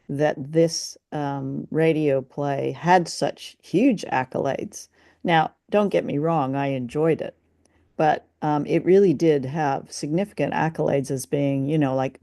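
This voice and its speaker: A woman's voice: deep voice, creaky, warm tone, no echo, studio quality. A woman co-hosting a casual review chat, speaking in a musing, wry way. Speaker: podcast co-host.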